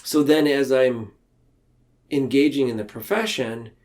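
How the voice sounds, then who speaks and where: A man speaking as a podcast guest in a reflective, conversational way, earnest and halting, tending to ramble. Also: mid-range voice, a little mellow. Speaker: podcast guest, mental health counselor. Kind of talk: reflective conversational interview talk.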